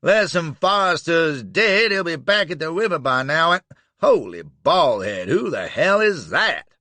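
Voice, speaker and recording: A man voicing a loud, excitable Southern American man who bawls and roars his lines, with a Southern US accent. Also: fairly high pitch, unhurried, no echo, voice pushed up, exaggerated voice. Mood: bellowing. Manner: bawling, excitable.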